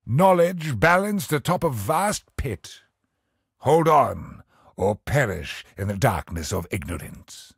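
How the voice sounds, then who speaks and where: A man playing an ancient, bleak and disdainful cosmic being in a video game, speaking solemn, portentous monologue with dramatic pauses in a breathy, husky voice. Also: medium pitch, sing-song, dry recording, studio quality, exaggerated voice. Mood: mournful, fatalistic.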